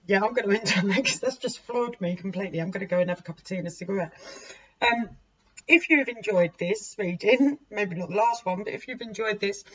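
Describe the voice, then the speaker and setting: Woman, 40s, hoarse, chatty tarot reader, self-mocking, dull lo-fi sound. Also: on the low side. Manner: chatty, laughs at herself, repeats and restarts. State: hoarse, losing her voice. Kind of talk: casual tarot reading to camera. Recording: dull lo-fi recording with faint noise.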